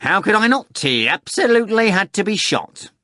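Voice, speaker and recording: A man's voice: high voice, moderate pace, dry recording, voice pushed up, heavily acted. A cold, blunt henchman answers curtly in a raised, hard-edged voice, as a male narrator plays him. He states violence as plain fact. The recording is dry and close. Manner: curt, flat-out blunt.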